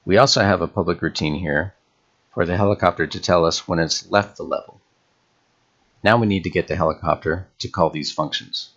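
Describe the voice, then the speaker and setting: A man narrating an instructional screencast as a tutorial host, in a measured, explanatory way. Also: sits low, fairly bright tone, muffled recording. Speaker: game development tutorial host.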